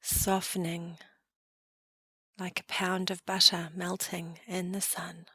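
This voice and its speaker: Woman doing guided meditation, lowish voice, really dry close sound, calm n gentle. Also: breathy edge, no room sound. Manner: calm, gentle, unhurried phrasing with soft trailing endings. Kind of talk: guided meditation, slow soothing narration.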